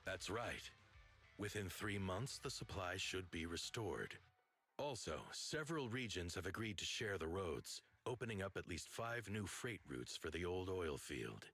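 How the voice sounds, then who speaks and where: An actor playing a stern, dignified overlord of a motor league in a video game, speaking in a commanding, formal way. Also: male voice, low-pitched, expressive, measured pace, fairly bright tone, husky, faint music behind, full character voice. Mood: formal, assured.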